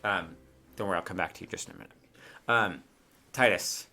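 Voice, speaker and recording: A man's voice: sits low, fairly quick, sharp tone. A man working as game master, narrating a tabletop game in casual, improvised chat with asides and hesitations.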